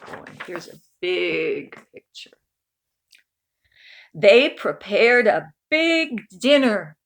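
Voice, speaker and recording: A woman reading a children's picture book aloud in an expressive storytelling manner, with informal asides. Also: mid-range voice, very slow, vocal fry. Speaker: storybook reader.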